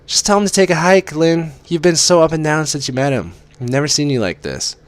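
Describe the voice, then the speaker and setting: A man's voice: a little high, fairly quick, dry recording, voice pushed up, lightly acted. A man reading aloud and voicing a blunt, protective young woman roommate who speaks her mind. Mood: indignant. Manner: brash, outspoken.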